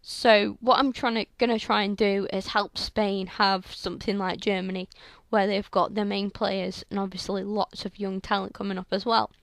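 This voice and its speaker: A young woman with a sore, croaky throat, chatting through video game commentary on a headset mic in a rambling way full of fillers. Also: medium pitch, flat delivery, normal speed, few pauses, dry recording. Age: young adult. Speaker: gaming channel host. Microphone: headset microphone.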